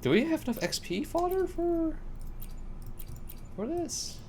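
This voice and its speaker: A man's voice: very high-pitched, very animated, unhurried, mellow, a little hiss or hum. A young man doing casual live gameplay commentary as a streamer, rambling to himself in slangy speech. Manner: slangy, self-talking, rambling. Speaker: game streamer. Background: game music.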